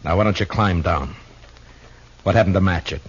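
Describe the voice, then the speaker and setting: An actor in a 1950s radio western playing a steady, tough lawman who talks tersely and plainly. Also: sits low, normal speed, lightly acted. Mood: firm, controlled. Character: steady, tough, plain-spoken Old West marshal. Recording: dull, noisy old radio recording.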